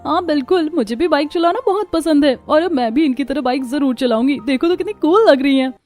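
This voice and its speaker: An excited young girl from a cartoon, put on by a woman narrator. Her voice is lifted high and full of admiration. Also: fairly quick. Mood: excited, admiring.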